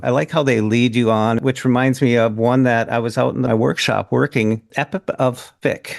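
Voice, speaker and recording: A man's voice: medium pitch, steady tone, normal speed, creaky. A man hosting a podcast in casual, informal and enthusiastic conversation. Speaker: podcast host. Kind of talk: casual chat.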